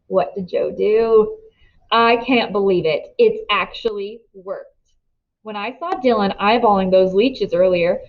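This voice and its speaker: A woman narrating a children's novel aloud in an expressive storytelling style. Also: mid-range voice, lo-fi sound.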